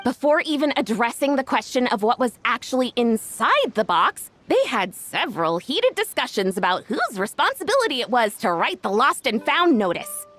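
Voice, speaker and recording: A woman's voice: high voice, fairly bright tone, full character voice. A woman voicing a chatty, modest young female staffer in a video game, with a slightly bashful manner. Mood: weary, chatty.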